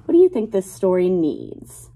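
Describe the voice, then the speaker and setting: A woman in her twenties, a teacher reading a picture book aloud to young children in a deliberate, child-directed way. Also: lowish voice, huge pitch swings, mellow, slight background noise. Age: 20s. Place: small classroom, indoors.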